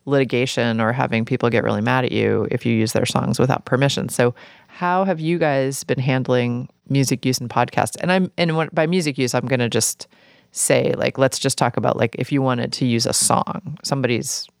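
A man's voice: mid-range voice, a little fast, vocal fry, no room sound, studio quality. A man hosting a podcast interview, talking informally and easing his way into questions. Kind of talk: casual conversational interview.

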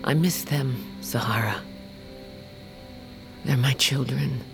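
A woman's voice: extremely low pitch, takes it slowly, full character voice. Proud, weary warrior queen. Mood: wistful, regretful. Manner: weighty, regretful, rough-tongued. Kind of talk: fantasy audio drama acting. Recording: music underneath.

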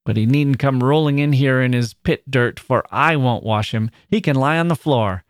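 A man's voice: fairly high pitch, moderate pace, no echo, studio quality, pitched above the performer's own voice, lightly acted. A man voicing a weary, irritable, bitter working-class mother in an audiobook, scornful and using dialect turns of phrase. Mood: bitter despair. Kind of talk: literary audiobook character voice.

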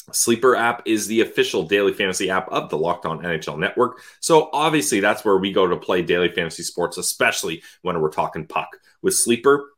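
A man with a somewhat low-pitched voice speaks as the co-host of a sports podcast. His delivery is casual hockey talk, aimed at fans. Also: fairly bright tone.

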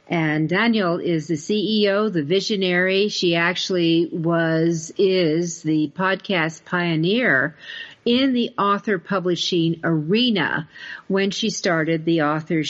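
A woman's voice: lowish voice, a bit bright. A woman hosting a podcast interview in a folksy, emphatic, conversational way. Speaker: podcast host. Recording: dull lo-fi online audio.

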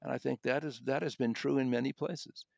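A man's voice: medium pitch, fairly even intonation, a little fast, gravelly, a little mellow, dry recording. A man with a mid-range voice gives a conversational audiobook review in a dry, close recording. He is discursive and circles back to reword his points. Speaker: audiobook reviewer and podcast co-host.

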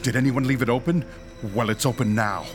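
A man's voice: mid-range voice, steady tone, a little fast, lightly acted. A man playing a dignified, pedantic doctor leading ghost hunters in a radio drama, speaking formally and precisely. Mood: calm, commanding. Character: dignified, pedantic male ghost-hunting doctor and team leader. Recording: music behind the voice.

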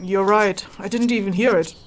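A practical young woman guide in a fantasy audio drama, acted by a woman. She speaks at a middling pitch, matter-of-fact and exasperated, with weary sighs and a faint noise behind her. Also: fairly even intonation, moderate pace, close to an everyday voice. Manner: sighing, dry, matter-of-fact.

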